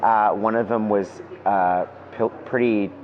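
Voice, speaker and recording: A man's voice: lowish voice, a little slow. A man, a guest evangelist, talking casually and enthusiastically in an interview, often saying "man" and "dude". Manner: relaxed, enthusiastic storyteller, says "man" and "dude". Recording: lo-fi with music behind.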